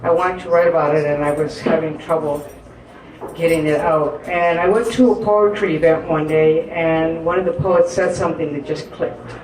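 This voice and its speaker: A woman poet speaking on stage in an earnest, halting way, with many pauses and fillers. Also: low voice, fairly even intonation, a little mellow. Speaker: poet and guest. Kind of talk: personal storytelling in a live interview. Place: live stage event in an echoey room. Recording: echoey room from a distance.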